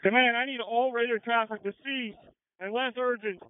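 A man's voice: very high voice, steady tone, normal speed, smooth. A man speaking as a fire battalion chief in terse, urgent, clipped radio traffic over a two-way radio. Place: at a fire and collapse scene. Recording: phone-like fire radio channel.

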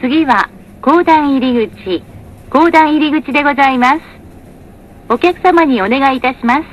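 A woman's voice: sits high, measured pace, muffled recording, a little hiss or hum. A woman reading scripted bus announcements in a polite, formal, carefully articulated manner. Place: recorded announcement played inside a bus.

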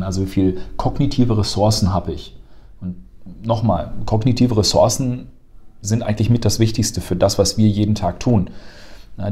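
A man in his thirties, seated on a sofa in a bright office room, explaining stress and thinking to a colleague in a fluent, lecturing way with frequent filler sounds, recorded on a lapel mic. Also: on the low side. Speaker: emergency medicine educator. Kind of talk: explanatory conversation.

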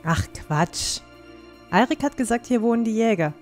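A woman's voice: fairly high pitch, lively intonation, normal speed, music in the background, lightly acted. A woman playing a plucky, teasing young dwarf squire in a fantasy audio drama, down-to-earth and matter-of-fact.

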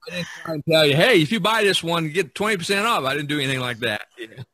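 A man's voice: fairly high pitch, lively intonation, fast talker, sharp tone. A middle-aged man hosting a podcast, chatting casually in a rambling, jokey way over an online call. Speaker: podcast host. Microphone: online video call.

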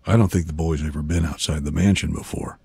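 Very deep, husky small-town detective. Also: male voice, fairly even intonation, fast talker, mellow, no room sound, exaggerated voice. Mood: dry, easygoing. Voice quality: husky, gravelly. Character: gruff middle-aged male small-town detective, wry and weary. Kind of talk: video game cutscene acting.

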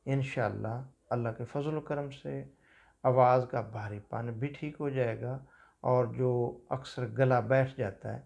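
A man in his fifties with a Pakistani Urdu accent, giving a calm, measured talk on home remedies from a small office. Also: mid-range voice, gravelly. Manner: measured, unhurried explanation with religious phrases. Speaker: herbal medicine practitioner. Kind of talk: calm instructional health talk. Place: small office seated at a desk.

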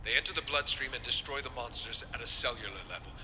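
A man's voice: mid-range voice, quick pace, pushed and bright. An actor playing an arrogant male villain scientist in a video game, cold, accusing and taunting. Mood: smug, menacing. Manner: sneering, accusing, emphatic. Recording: echoey reverb, faint noise.

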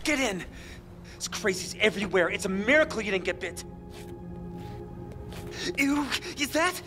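A man's voice: high-pitched, lively intonation, unhurried, music in the background. A man playing a squeamish assistant in his thirties in a video game, anxious, stammering and easily panicked. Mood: alarmed. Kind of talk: video game drama acting.

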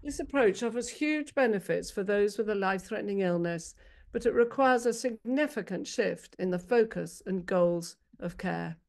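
A woman with a mid-range voice delivers a prepared talk as a panel speaker. She reads formally and articulately, setting out each phrase in a measured way. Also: medium pitch, animated, creaky, darkish tone.

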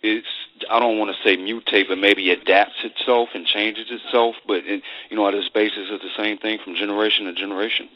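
A man's voice: lowish voice, little pitch movement, brisk. A man hosting a radio interview, putting questions to a guest over a phone line. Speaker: radio show host. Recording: muffled, noisy, clicking radio phone line.

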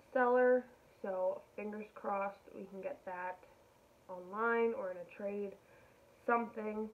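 A young woman chats unhurriedly and gushes over each thing she holds up, at an average pitch. Her voice comes through thin and tinny, like a phone line, in a home kitchen. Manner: gushing, enthusiastic. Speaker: vlogger. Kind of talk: casual haul chat.

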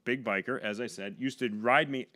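A man's voice: medium pitch, normal speed, bright, forceful tone, dry recording, clean studio sound. An American man in his thirties telling stories casually and comically, as a guest on a podcast. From Buffalo, New York, USA. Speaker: podcast guest, stand-up comedian. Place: small home podcast room, indoors. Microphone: podcast boom microphone.

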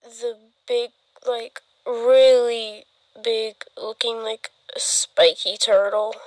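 A woman voicing a timid, eager teenage boy in a fan comic dub, speaking in a halting, hesitant way. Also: on the high side, background noise.

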